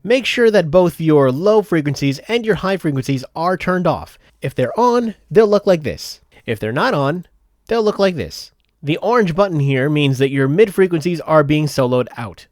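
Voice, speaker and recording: A man's voice: on the high side, lively intonation, moderate pace, dry recording. Dry, methodical male tutorial narrator. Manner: methodical, explanatory. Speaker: software tutorial host. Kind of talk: step-by-step instructional voice-over.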